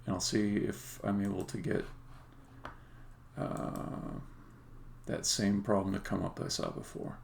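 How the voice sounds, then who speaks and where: A man who develops games, talking casually and haltingly to himself as he live-codes on a stream. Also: deep voice, flat delivery, vocal fry. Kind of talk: casual live-coding commentary, thinking aloud. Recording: faint background noise with keyboard clicks. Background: computer keyboard typing and clicking.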